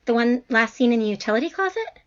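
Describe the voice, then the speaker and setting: A woman's voice: on the high side, animated, fast, dull audio, lightly acted. A woman playing a skeptical, plain-spoken professor in an audio drama, casual and full of questions. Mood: skeptical, puzzled.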